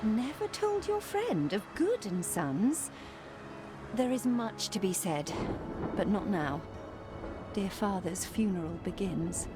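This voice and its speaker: An actress voicing an earnest, grieving younger sister of noble family in a video game, with formal, old-fashioned phrasing. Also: female voice, medium pitch, sing-song, takes it slowly. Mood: guarded, solemn. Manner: formal, archaic phrasing, solemn. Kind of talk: dramatic video game acting. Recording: loud music underneath. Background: game music.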